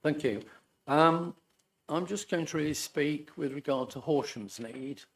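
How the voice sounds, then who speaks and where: A man making a measured, deferential statement at a public hearing, addressing the chair as sir. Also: on the high side, creaky, a little hiss or hum. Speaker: hearing participant.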